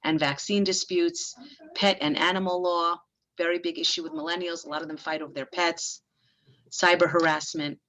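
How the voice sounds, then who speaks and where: Frank, brisk woman lawyer presenting. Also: fairly low pitch, steady tone, a bit bright, rough recording. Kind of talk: business mixer presentation.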